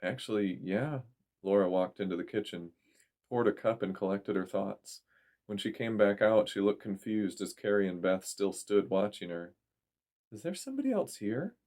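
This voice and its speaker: A man's voice: on the low side, little pitch movement, moderate pace, darkish tone. A man reading a story aloud as a dramatic audiobook narrator.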